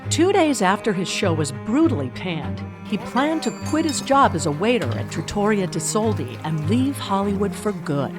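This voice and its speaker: A woman's voice: mid-range voice, sing-song, normal speed, hardly any pauses, over music, natural voice. A woman playing the narrator of a mock documentary, reading a scripted biography. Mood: storytelling.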